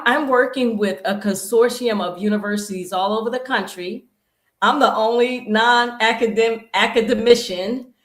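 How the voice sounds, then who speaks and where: A woman fitness professional, a guest on a live-stream talk show, who rambles and often restarts her sentences. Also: medium pitch. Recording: echoey room.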